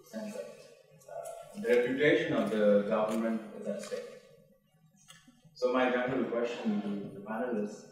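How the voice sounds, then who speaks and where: A man's voice: medium pitch, moderate pace, pauses often, faint music behind. Echoey, distant man at a conference. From Norway-based. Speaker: audience member asking a question. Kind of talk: conference question from the floor. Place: large hotel conference room, heard from the floor. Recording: echoey hall, far from the microphone.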